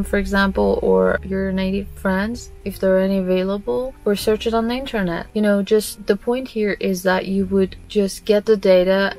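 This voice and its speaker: A woman's voice: medium pitch, darkish tone. A young woman whose first language is Farsi, chatting to camera in a casual vlog with lots of "like" and "uh" fillers, recorded in a small dim room. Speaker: language-learning YouTuber. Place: small dim room with panelled walls, indoors.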